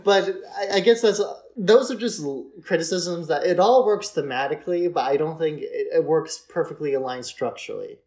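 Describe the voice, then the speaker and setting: Man, somewhat high pitch, podcast co-host, informal film chat, conversational, tentative phrasing. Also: moderate pace, hardly any pauses, darkish tone. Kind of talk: casual chat, film discussion.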